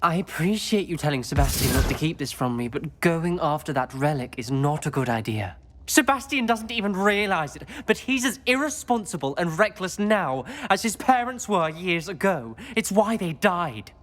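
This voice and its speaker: A man's voice: on the high side, sing-song, normal speed, fairly bright tone. A man playing a principled, worried teenage wizard boy in a video game, precise, serious and emphatic. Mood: grave. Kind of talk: video game cutscene acting.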